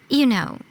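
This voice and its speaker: A woman voicing a sly, teasing female shrine priestess in a video game, playful and unhurried. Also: high voice, slight background noise, exaggerated voice. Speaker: shrine head. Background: game music.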